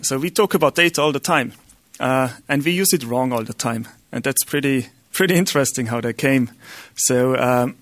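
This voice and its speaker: A man's voice: medium pitch, fairly bright tone, dry recording. A middle-aged man with an Austrian German accent, a non-native speaker giving a conference lecture with many ums and restarts.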